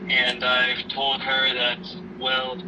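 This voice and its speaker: A man playing a grieving widowed father who gently counsels his daughter in a halting, measured way in an amateur audio drama. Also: completely flat delivery, dull audio, close to an everyday voice. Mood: grieving, subdued. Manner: halting, subdued, measured delivery. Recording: noisy rumbling background, distant mic.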